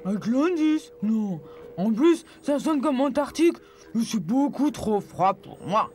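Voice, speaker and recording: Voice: medium pitch, expressive, slow, warm tone, slight background noise. An actor voicing a touchy polar bear who hates the cold, grumbling dryly in a dubbed cartoon. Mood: touchy, complaining. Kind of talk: dubbed low-budget cartoon dialogue. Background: faint music.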